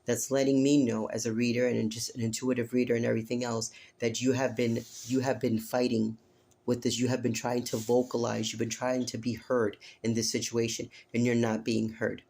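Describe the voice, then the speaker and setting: A man reads tarot cards aloud to an unseen listener, earnest and repetitive, often tagging his points with "okay?". His pitch stays narrow and even, and his voice has a hoarse edge. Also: normal speed. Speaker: tarot reader. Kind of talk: casual spoken tarot reading.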